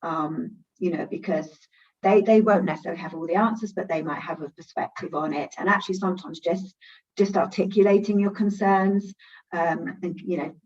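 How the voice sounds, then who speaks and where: Low-ish woman on a far-off dull call line, lots of ums, panel talk. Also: steady tone, normal speed, a little mellow. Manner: hesitant, many fillers. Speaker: panelist, project manager.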